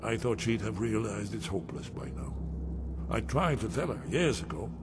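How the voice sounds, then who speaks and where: A man's voice: fairly low pitch, sing-song, moderate pace, background noise. An actor playing a paranoid, weary old man in hiding, agitated and dramatic, in a fantasy video game. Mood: relieved, weary. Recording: loud music behind the voice.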